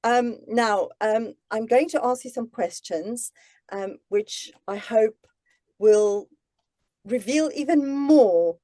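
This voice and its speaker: A woman from Namibia hosts an author interview over a video call, eager and encouraging. Her tone is warm and mellow, and the sound is close and dry with no room echo. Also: medium pitch. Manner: encouraging, enthusiastic. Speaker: host interviewer. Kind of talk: friendly interview hosting.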